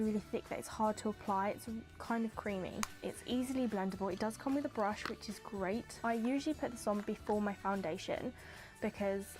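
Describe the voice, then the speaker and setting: A young woman with a stuffy, congested voice talks through her beauty favourites in a loose, rambling way, full of "like" and "kind of". Her pitch sits in an ordinary middle range. Soft music plays faintly underneath. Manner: chatty and rambling, lots of "like" and "kind of". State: congested from hay fever. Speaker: beauty vlogger. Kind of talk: casual chatty product review. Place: hot indoor room with windows open.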